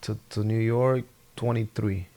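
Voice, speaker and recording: A young man with a Spanish accent, speaking English as a second language as a guest in a relaxed interview, halting and repeating his words. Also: lowish voice, creaky. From Honduras. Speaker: podcast guest, former soccer player. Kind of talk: casual interview chat.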